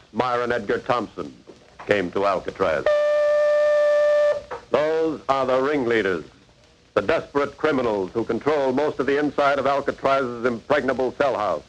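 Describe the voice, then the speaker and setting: An actor playing the grave, urgent narrator of a 1940s radio crime drama, in formal scripted delivery. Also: male voice, medium pitch, a bit bright, natural voice, poor audio. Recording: old radio broadcast recording.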